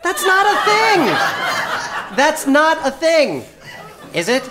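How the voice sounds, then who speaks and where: A woman's voice: high voice, sing-song, slow pace, heavy background noise, full character voice. An actress playing a principled, incredulous female office worker in a satirical audio comedy, with hesitant starts and blunt, exasperated objections.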